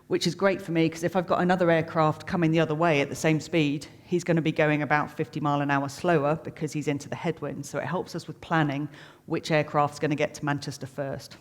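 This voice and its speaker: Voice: mid-range voice, little pitch movement, fast talker. A middle-aged air traffic controller giving an informal explanatory talk, plain-spoken and chatty, making technical detail simple. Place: reverberant room, live talk to an audience. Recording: long echoing hall reverb.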